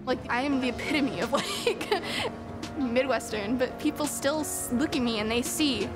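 A woman's voice: high-pitched, moderate pace. A young American woman chatting casually and effusively, saying "like" often. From Kansas, United States. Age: young adult. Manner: gushing, frequent "like". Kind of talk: casual chat. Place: outdoor park. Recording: loud music behind.

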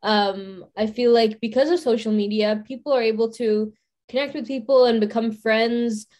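A woman's voice: a little high, steady tone, normal speed, darkish tone. A university student in her twenties speaking on a video call panel, thoughtful and circling back with frequent "you know" and restarts. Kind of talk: casual panel discussion.

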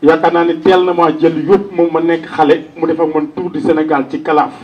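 A man's voice: fairly high pitch, little pitch movement, a little fast, lo-fi sound. Senegalese man giving a tribute, distant sound. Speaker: eulogist. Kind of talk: public funeral tribute. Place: outdoor funeral gathering. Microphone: handheld-type microphone on a stand.

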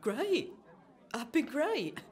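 A woman's voice: high-pitched, big pitch swings, very slow, darkish tone, exaggerated voice. An actress in a radio play playing a jaded, anxious single woman in her thirties, sarcastic, dramatic and emphatic. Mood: cold, scornful. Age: 30s. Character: jaded, anxious 35-year-old single woman unravelling at speed dating.

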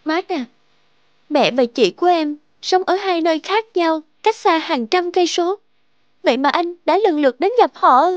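A woman voicing an emotional, hesitant young girlfriend in love in an animated drama. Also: very high voice, moderate pace, no room sound, lo-fi sound. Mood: moved, amazed.

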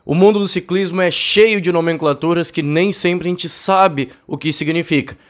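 A young man from Brazil hosts a cycling channel, talking to camera and explaining with his hands while he has a bad cold. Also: on the high side. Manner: fast, chatty, explains with hand gestures. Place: home studio room. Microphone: fluffy-windscreen desk mic, close.

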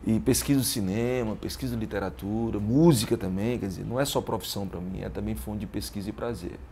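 A man's voice: on the low side, fairly quick, warm tone. Northeastern Brazilian man in his fifties, background noise. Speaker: interview guest, musician and teacher. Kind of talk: casual interview talk. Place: living room at home. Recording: clear background room noise.

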